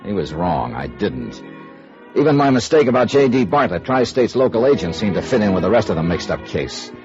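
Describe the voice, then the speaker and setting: An actor playing a wry, self-assured insurance investigator in 1950s radio noir, with a dry, needling, casual manner. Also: male voice, lowish voice, sing-song, brisk, over music. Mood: wry narration. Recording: dull noisy vintage radio recording.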